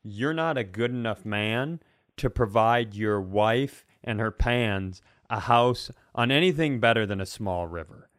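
A man's voice: lowish voice, expressive, measured pace, a bit bright. A middle-aged man co-hosting a comedy podcast, bantering and telling stories casually in a mock-earnest way. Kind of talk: casual comic banter and storytelling.

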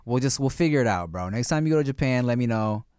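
Chill guy cohosting a podcast, says bro a lot, smooth voice, medium pitch. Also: expressive, fast, a little mellow, no echo, studio quality. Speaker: podcast co-host. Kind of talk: casual chat.

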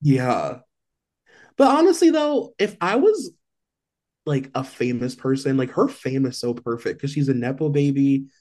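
A man's voice: mid-range voice, very animated, a little slow, darkish tone. A man co-hosting a podcast, chatting loosely about celebrity gossip and leaning on "like" a lot. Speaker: podcast co-host. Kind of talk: casual celebrity gossip chat.

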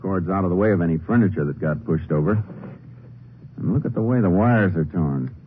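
A male actor plays a low-voiced Texas Ranger, calm and businesslike, sizing things up in terse, probing phrases. The sound is a muffled old radio drama with some noise. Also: a little fast, close to an everyday voice. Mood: deducing. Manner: clipped, matter-of-fact questioning. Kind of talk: 1950s radio drama acting.

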